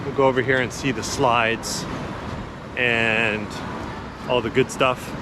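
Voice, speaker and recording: A man's voice: medium pitch, fairly even intonation, slow. A man giving a casual walking tour as a vlogger, chatty and laid-back but enthusiastic, talking into a handheld action camera outdoors. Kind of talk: casual chatty walk-and-talk narration. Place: outdoors in a busy city park. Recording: outdoor action camera with clear city noise.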